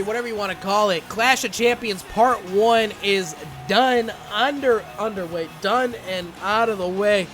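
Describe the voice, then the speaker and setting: A young man calls a wrestling video game match play by play, getting loud and excited as the action builds. His voice sits very high and sounds bright and forceful, with his throat strained and getting hoarse. Music plays faintly underneath. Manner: excitable, rising to shouts at big moments. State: losing his voice, coughing. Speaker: gaming channel host and commentator.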